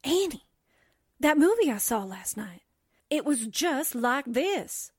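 A woman performs a naive female sidekick from a vintage radio mystery. Her high voice moves slowly and hesitantly, with wide-eyed drama. The sound is dry and close. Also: very animated, a little mellow, no room sound, exaggerated voice. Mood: spooked, insistent. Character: excitable, naive, movie-struck female reporter's assistant. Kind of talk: 1940s radio drama acting.

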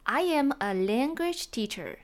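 Lady teacher hosting a podcast, slow and clear, really sing-song. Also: high voice, lively intonation. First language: Chinese. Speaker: language teacher and podcast host. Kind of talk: scripted teaching introduction.

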